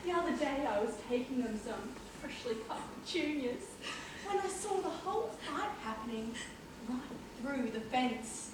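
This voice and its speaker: A woman playing a nosy, over-friendly older male neighbour with drawn-out emphasis in an amateur stage play. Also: high voice, animated. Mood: creepily chatty. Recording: echoey hall, far mic, background noise.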